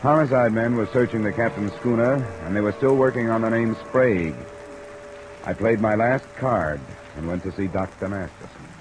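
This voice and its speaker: An actor playing a terse, dry, persistent American insurance investigator in a 1950s radio detective drama. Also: male voice, on the low side, moderate pace, music in the background, lightly acted, rough recording. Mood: matter-of-fact. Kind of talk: 1950s radio detective acting, first-person narration.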